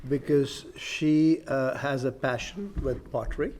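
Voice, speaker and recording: A man's voice: medium pitch, measured pace, darkish tone, a little hiss or hum. A man explaining a plan informally to a group. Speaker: school caterer.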